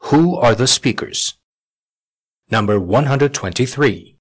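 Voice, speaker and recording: A man reads out item numbers and exam instructions in a measured, formal way. His voice has a slightly husky, breathy edge at an ordinary pitch. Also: animated. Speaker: test announcer.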